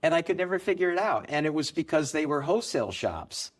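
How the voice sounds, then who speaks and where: A man's voice: fairly high pitch, sing-song, fast. Middle-aged man explaining a trade. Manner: explanatory, anecdotal, with occasional laughs. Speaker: gold business co-founder, guest. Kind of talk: conversational interview answers.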